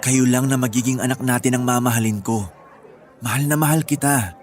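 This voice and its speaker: A man's voice: medium pitch, steady tone, brisk, darkish tone, a little hiss or hum, natural voice. A man playing a devoted, earnest young groom with a Filipino accent in a radio drama. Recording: music bed behind the voice.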